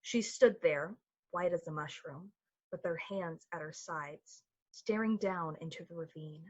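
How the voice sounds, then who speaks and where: A woman's voice: lowish voice, measured pace, breathy edge, dull audio. A woman playing the solemn storyteller of an eerie radio play, narrating a scripted tale. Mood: solemn, hushed.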